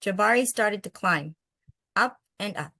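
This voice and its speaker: A woman's voice: medium pitch, lively intonation, a little slow, bright, forceful tone, no room sound, studio quality. A woman who hosts read-alouds of picture books and chats about them in an encouraging way. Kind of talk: picture-book read-aloud and casual discussion.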